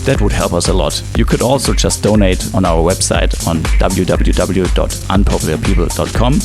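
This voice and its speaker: Deep-voiced male podcast host. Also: music in the background. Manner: halting, many fillers. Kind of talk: interview hosting.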